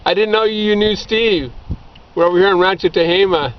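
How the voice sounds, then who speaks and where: A man's voice: sits high, moderate pace. A man, middle-aged, casually reminiscing among friends in a rambling, anecdotal way. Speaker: former missionary bookseller. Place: outdoors, back of a pickup by a creek. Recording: muffled lo-fi outdoor amateur recording, background noise.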